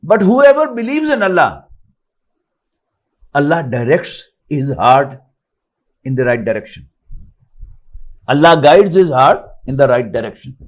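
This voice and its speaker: A man's voice: fairly high pitch, big pitch swings, unhurried, pauses often. An older man from Pakistan, a native Urdu speaker with a Pakistani English accent, teaching an online Quran lesson, reciting Arabic verses and then explaining them phrase by phrase in English.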